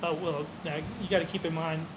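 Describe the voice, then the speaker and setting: A man in his sixties telling stories as an interview guest, relaxed, rambling and self-deprecating. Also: a little high, brisk. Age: 60s. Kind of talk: casual storytelling. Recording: noisy room with air conditioning hum.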